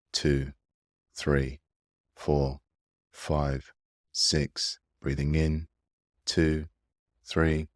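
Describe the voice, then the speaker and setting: An extremely low-voiced man narrates a guided breathing meditation. He speaks slowly, with a soothing and gentle manner of instruction. The sound is dry and close to the microphone. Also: dry recording. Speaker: meditation guide and mindfulness coach.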